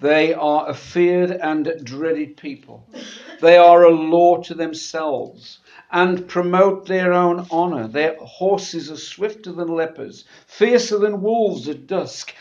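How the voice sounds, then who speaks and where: A man preaching in church, his voice slightly gravelly and husky from a sore throat, speaking in a conversational sermon style. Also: fairly high pitch, a little mellow. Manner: halting, conversational, often repeating words. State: sore throat after a medical procedure. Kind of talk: church sermon with scripture reading. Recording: dull, muffled church recording.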